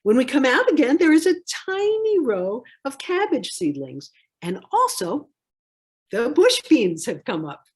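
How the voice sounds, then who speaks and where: A woman reads a picture book aloud in a deliberate, phrase-by-phrase way. Her voice is slightly husky and breathy, moving slowly with very lively swings in pitch. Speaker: storybook reader.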